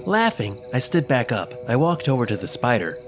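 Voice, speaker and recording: A man narrating a scripted first-person children's story in an expressive, dramatic storytelling manner. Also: mid-range voice, brisk, over music.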